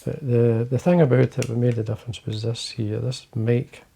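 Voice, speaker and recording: A man with a Scottish accent, talking quietly and casually to camera from his fly-tying desk, rambling with fillers and restarts. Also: medium pitch, level tone, normal speed, gravelly, slight background noise. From Scotland. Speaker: fly-fishing video host.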